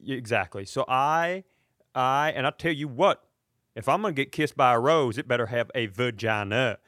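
A man telling comedic stories in casual chat as a podcast host, with animated, profane ranting. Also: mid-range voice, normal speed, no room sound, studio quality.

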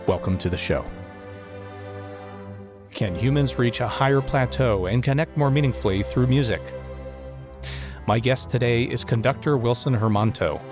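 A man hosting a podcast, reading a measured, formal introduction and then asking his guest questions. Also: medium pitch. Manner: measured, formal announcer delivery. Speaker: podcast host. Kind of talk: scripted show intro and interview questions.

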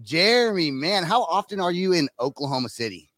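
Slightly high-voiced male podcast host. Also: sing-song, a little fast, fairly bright tone, dry recording, clean studio sound. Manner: laughs often, loose rambling questions. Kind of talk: casual interview questions. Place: pop culture convention.

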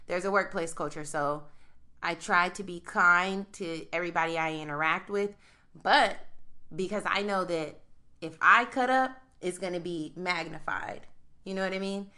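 A woman's voice: on the low side, moderate pace. A young woman co-hosting a podcast, telling a work story in casual chat with a strong voice, acting out both sides of a phone call. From United States. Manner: animated storyteller, acts out phone calls. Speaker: podcast co-host.

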